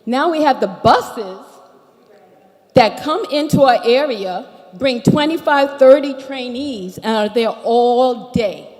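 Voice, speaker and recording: A woman's voice: a little high, animated, slow, darkish tone. A woman from Barbados with an American accent, speaking as a resident at a public meeting and laying out her complaints point by point. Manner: earnest and methodical, listing complaints point by point. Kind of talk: public comment at a town hall. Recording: echoey hall.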